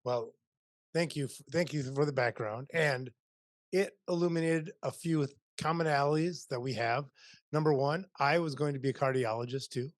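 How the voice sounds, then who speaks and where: Male podcast host, middle-aged, energetic, rambling, dry mic. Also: fairly high pitch, normal speed, dry recording. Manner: upbeat, rambling with restarts. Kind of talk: video-call interview. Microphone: studio mic on boom, video call.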